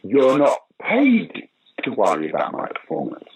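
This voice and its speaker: A man's voice: on the low side, wildly swooping pitch, a little slow, breathy edge, poor audio. A man in his sixties being interviewed about his acting career, telling stories animatedly, often restarting and putting on the voices of people he quotes. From United Kingdom. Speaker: actor and director being interviewed. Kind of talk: casual interview chat.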